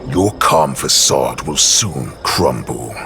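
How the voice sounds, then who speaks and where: An actor voicing a menacing male wolf-beast warlord in a video game cutscene, taunting and threatening in a slow, gravelly voice. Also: very deep voice, lively intonation, bright, forceful tone, a little hiss or hum, exaggerated voice. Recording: game cutscene with music behind.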